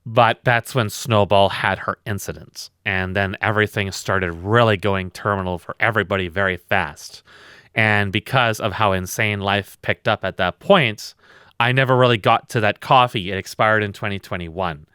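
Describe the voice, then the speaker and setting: A tired man with a loud voice, co-hosting a podcast in a blunt, rambling, swearing chat. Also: fairly low pitch, normal speed, smooth, no echo, clean studio sound. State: very tired from lack of sleep. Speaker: podcast co-host.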